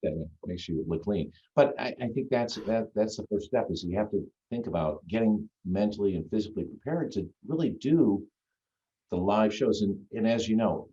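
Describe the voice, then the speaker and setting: A man with a deep, full radio voice, chatting as a guest on a video call in an easygoing, rambling way. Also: expressive, moderate pace, warm tone. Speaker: guest magician. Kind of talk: casual chat on a video call. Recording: dull lo-fi video-call audio.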